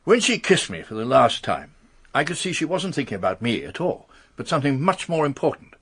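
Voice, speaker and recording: An upper-class English gentleman in a radio drama. His husky voice rises and falls freely, and he stammers anxiously through a formal confession in an English accent. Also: mid-range voice, sing-song, fairly quick, exaggerated voice. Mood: rueful, confiding.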